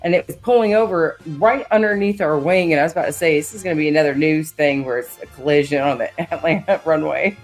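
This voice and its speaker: A woman's voice: low-pitched, quick pace, hardly any pauses, soft background music, poor audio. A woman co-hosting a live-stream trivia night, chatting and reading quiz options aloud. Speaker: stream co-host.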